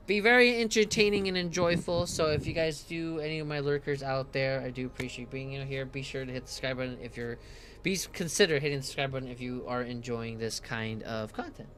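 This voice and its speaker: Male game streamer on headset; bright, easygoing, stumbles over hard words; faint background hiss. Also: medium pitch. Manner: reads lore in a slower, calmer voice. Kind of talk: casual game stream chat and reading aloud.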